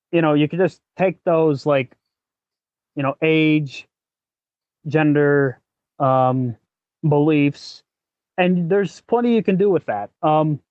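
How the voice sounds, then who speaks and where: Male podcast host, slightly high-pitched, casual and explanatory, halting delivery, close dry sound. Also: a little mellow, no room sound, muffled recording. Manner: halting, thinking aloud. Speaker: working actor.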